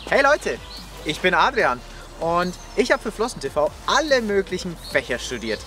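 High, animated, jokey man. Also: normal speed, faint music behind. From Bavaria. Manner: self-mocking, jokey. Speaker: video crew member. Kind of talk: casual self-introduction to camera.

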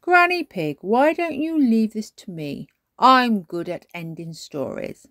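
A woman's voice: mid-range voice, big pitch swings, measured pace, fairly bright tone, no echo, studio quality, pitched above the performer's own voice. A woman voicing a helpful, genial, self-assured elderly grandfather pig in a children's storybook.

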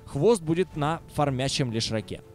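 A man's voice: on the high side, lively intonation, moderate pace, soft background music. A man commentating a video game match live, with casual, sardonic asides to viewers. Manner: wry, sardonic. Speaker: esports commentator.

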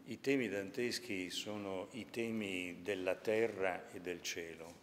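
An older man explains things in an interview, speaking in measured, halting short phrases. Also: deep voice, steady tone. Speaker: exhibition spokesman. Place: large museum sculpture gallery, indoors. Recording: reverberant gallery hall, audible background noise.

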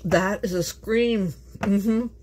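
A woman's voice: medium pitch, slow, a little mellow, a little hiss or hum. A woman from Canada hosting a casual home cooking vlog in her kitchen, chatting to viewers and laughing often. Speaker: home cook, channel host.

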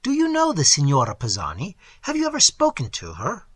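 A reader voicing a proud, touchy young male painter who speaks peevishly in clipped bursts, with a husky voice. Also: medium pitch, wildly swooping pitch, brisk, no room sound. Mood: indignant.

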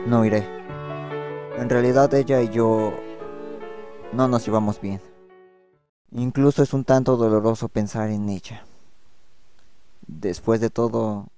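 A man's voice: fairly low pitch, steady tone, a little slow, pauses often, mellow. A man voicing a brooding, awkward teenage boy in a fan dub, speaking hesitantly and introspectively. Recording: music playing behind the voice.

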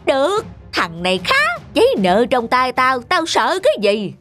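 An actor playing a greedy, scheming middle-aged rich villager in a cartoon fairy tale, pompous, scornful and blustering. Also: male voice, extremely high pitch, wildly swooping pitch, a little slow, pushed and bright, soft background music, heavily acted.

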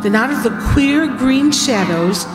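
A middle-aged woman playing a frightened woman in an old-time radio horror drama, dramatic and halting. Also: sits high, expressive. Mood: rising terror. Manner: dramatic, halting, dread-filled. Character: frightened woman recounting an eerie night terror. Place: seated on stage in a community hall. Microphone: boom stand microphone. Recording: echoey hall, loud music behind.

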